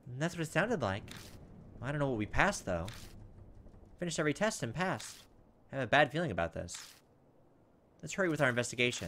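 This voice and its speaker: Guy doing a lets play, super lively voice, mutters through stuff and reads game text, music playing behind him. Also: medium pitch. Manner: thinks out loud, exasperated asides. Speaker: gaming video host. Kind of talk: casual let's play commentary with read-aloud game text. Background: video game music.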